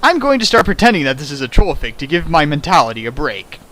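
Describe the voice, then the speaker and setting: A man's voice: a little high, sing-song, quick pace, bright, forceful tone. A man reading a fan fiction aloud for laughs, breaking off into sarcastic, mock-outraged commentary. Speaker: reader riffing a fan fiction. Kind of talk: comedic dramatic reading with commentary.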